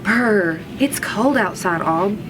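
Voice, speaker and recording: A woman voicing young schoolchildren from a storybook in a loud, emphatic, childlike way. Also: mid-range voice, expressive, slow, background noise. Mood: chilly, chatty. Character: young schoolchildren, boys and girls, loud and outspoken.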